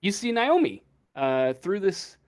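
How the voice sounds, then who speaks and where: A man narrating a tabletop game as game master, halting and searching for words as he improvises. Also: sits high, sing-song.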